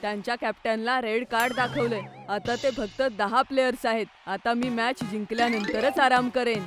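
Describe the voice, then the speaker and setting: A woman's voice: fairly high pitch, steady tone, normal speed, over music, close to an everyday voice. A woman voicing a plucky, determined schoolboy football player in a children's cartoon.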